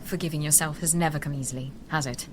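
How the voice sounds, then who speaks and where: An actress playing a gracious, animated queen in an audio drama, speaking in formal, consoling phrases. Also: low-pitched, quick pace, a little hiss or hum, lightly acted. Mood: tender, consoling.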